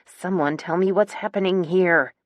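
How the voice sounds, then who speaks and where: A woman voicing a defiant, demanding woman in her twenties in an audiobook, with sharp, emotional insistence. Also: lowish voice, sing-song, moderate pace, dry recording, clean studio sound. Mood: angry. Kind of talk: audiobook character dialogue.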